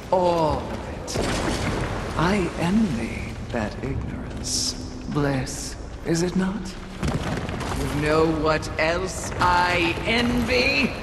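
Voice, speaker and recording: A woman's voice: fairly low pitch, exaggerated voice. A woman playing a jealous, treacherous female sin demon in a video game, gloating theatrically. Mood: bitter, gloating. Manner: theatrical, gloating, drawn-out delivery. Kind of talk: video game villain acting. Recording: echoey hall, heavy noise behind.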